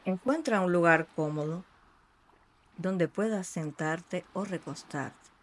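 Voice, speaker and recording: A meditation guide speaking in a soothing, unhurried way with long pauses between short phrases. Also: mid-range voice. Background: ambient sea sounds.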